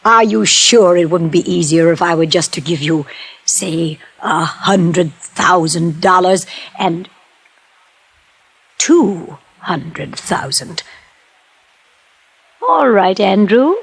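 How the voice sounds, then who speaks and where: A woman's voice: fairly low pitch, slow pace, long pauses, husky, exaggerated voice. An actress in an old radio drama playing a wealthy, refined, scheming and imperious society woman. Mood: coolly commanding. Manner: refined, imperious, turning flustered when cornered. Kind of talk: 1950s radio drama acting.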